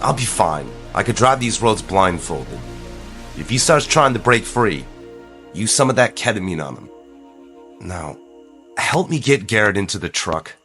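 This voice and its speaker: A man's voice: fairly low pitch, big pitch swings, a little slow, long pauses, bright, forceful tone, soft background music, exaggerated voice. An actor playing an anxious, determined small-town man in an audio drama, speaking earnestly and persuasively. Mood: resolved.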